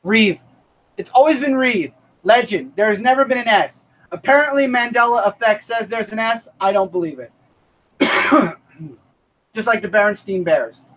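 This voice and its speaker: A man's voice: sits high, normal speed, a bit bright, rough recording. A man in his thirties hosting a casual comic review live stream, upbeat and thanking viewers by name. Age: 30s.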